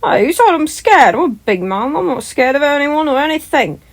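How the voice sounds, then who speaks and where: A woman acts out a brash teenage boy from a fantasy story. He is energetic and boastful, a rambler who talks with youthful bluster. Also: sits high, moderate pace, pitched above the performer's own voice. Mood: indignant boasting. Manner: boastful, rambling, loud when excited. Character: brash, energetic sixteen-year-old winged boy.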